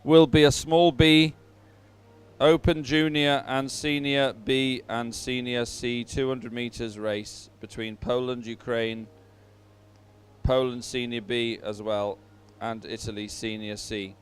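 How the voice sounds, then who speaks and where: A man giving live sports commentary at a regatta in an informal, halting way with hesitant pauses. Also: medium pitch, level tone, slight background noise.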